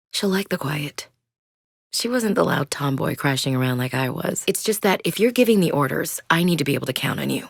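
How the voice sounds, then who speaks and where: A woman's voice: low-pitched, vocal fry, no room sound. An actress voicing a tough, loyal woman soldier in a sci-fi video game, talking frankly and steadily in a military way. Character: tough female human soldier, blunt and loyal. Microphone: close studio mic. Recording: dry studio recording.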